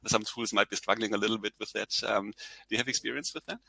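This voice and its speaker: A man with a German accent moderating a conference Q&A, reading out audience questions in a halting way. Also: fairly low pitch, steady tone, vocal fry, bright, forceful tone, no echo, low-quality recording. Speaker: session moderator.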